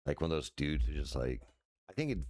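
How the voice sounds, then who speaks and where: A man in his forties, a streamer with a deep voice, chatting loosely at home with many fillers and restarts and casual swearing. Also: big pitch swings, fast talker, darkish tone, dry recording. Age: 40s. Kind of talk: casual rambling chat while sim racing. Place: his house.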